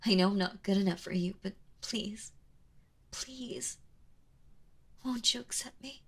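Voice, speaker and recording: Breathy, lovesick yandere woman, acted out by a woman. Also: medium pitch, a little slow. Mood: sad, pleading. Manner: hesitant, pleading, soft-spoken with breathy drops into whispers. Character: kind, obsessive yandere woman, lovesick and anxiously possessive captor. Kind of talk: intimate ASMR audio roleplay.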